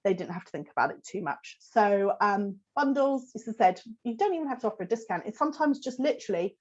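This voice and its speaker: A woman hosts a live webinar, chatty and loose with plenty of ums, her voice a little croaky from a cold. Her pitch sits in a middling range. The audio is slightly dull and lo-fi. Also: lively intonation, fast. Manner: conversational, many fillers, answers chat questions. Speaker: host giving a business talk.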